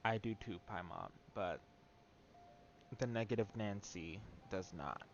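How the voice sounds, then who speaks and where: A man's voice: on the low side, animated, a little slow, vocal fry, dull audio, faint music behind. A man streaming a video game with casual, sarcastic, teasing asides at the story. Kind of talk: casual live commentary over gameplay.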